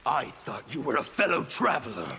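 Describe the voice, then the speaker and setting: A man voicing a fanatical anarchist warrior in a fighting game, with a harsh gravelly rasp and a theatrical, taunting, defiant delivery. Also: medium pitch, very animated, fairly quick, bright, forceful tone, heavily acted. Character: fanatical male anarchist warrior preaching chaos and freedom. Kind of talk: fighting game character acting. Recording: reverberant hall, background noise.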